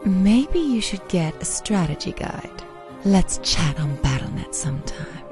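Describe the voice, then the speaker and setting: A flirtatious sorceress from a fantasy game, teases in a fairly low voice. Music is clearly heard behind her. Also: big pitch swings, a little slow, dark tone. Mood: flirty. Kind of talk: video game character barks.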